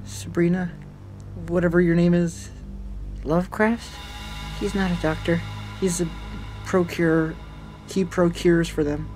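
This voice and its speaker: A man's voice: high voice, little pitch movement, a little slow, long pauses, a little mellow, faint music behind, low-quality recording. A man playing a paranoid, intense teenage boy in an audio drama, with a cynical, conspiratorial manner. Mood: urgent, secretive.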